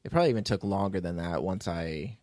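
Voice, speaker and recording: A man's voice: low voice, expressive, fast talker, a little mellow, dry recording, studio quality. A man hosting a podcast as its writer and producer, telling behind-the-scenes stories in a casual, rambling way.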